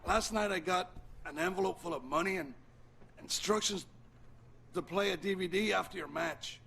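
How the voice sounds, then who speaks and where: Scared defensive dude in a game cutscene, high creaky voice, noisy audio. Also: male voice, moderate pace, a bit bright. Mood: frightened pleading. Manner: flustered, pleading. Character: nervous, defensive man cornered by a bully. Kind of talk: scripted video game cutscene acting.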